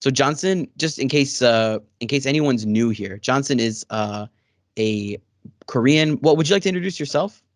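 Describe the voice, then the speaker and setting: A man's voice: mid-range voice, animated, moderate pace, no echo, clean studio sound. A man hosting a casual interview over a video call, friendly and rambling with many fillers. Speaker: host. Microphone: studio microphone.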